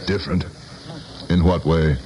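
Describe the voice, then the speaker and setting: A man's voice: rumbling low, fairly even intonation, a little slow, mellow, lo-fi sound, slight background noise. An actor in a 1970s radio play, playing a weary, self-doubting politician in his sixties who speaks in a pensive, formal way. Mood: brooding, guarded. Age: 60s. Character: sixty-year-old male politician, weary, brooding, self-doubting. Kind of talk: 1970s radio drama acting. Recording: vintage radio broadcast, music behind.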